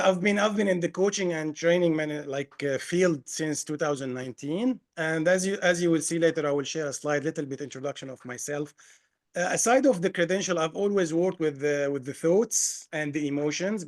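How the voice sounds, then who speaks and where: A man from Lebanon, an executive coach, presenting on a video call. He talks fast and often restarts his sentences. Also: on the high side. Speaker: executive coach, guest speaker. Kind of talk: webinar presentation.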